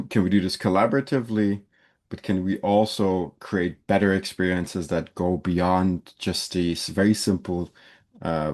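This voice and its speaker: A man's voice: fairly low pitch, a little mellow. A man from Belgium giving a university lecture in a loose, conversational way, with frequent fillers and restarts.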